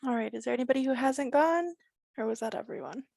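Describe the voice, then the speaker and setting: A young woman in her twenties opens an online workshop as co-presenter, upbeat and quick to laugh. Her voice sits a little high. The sound is dry, with no room around it. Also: vocal fry, a little mellow, no echo. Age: 20s.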